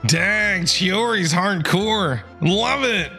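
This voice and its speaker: A man reacting casually as a video game streamer, excitable and slangy. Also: sits high, drawn-out words, soft background music. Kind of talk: casual live reaction commentary.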